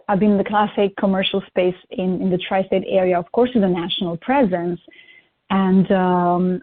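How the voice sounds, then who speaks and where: A woman, by birth, is a guest on an interview and lays out her career in an upbeat, list-like way. Her pitch sits in the middle and barely moves. Her voice comes through a thin, phone-like line. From Albania. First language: Albanian. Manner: upbeat, lists achievements at length. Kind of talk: interview self-introduction.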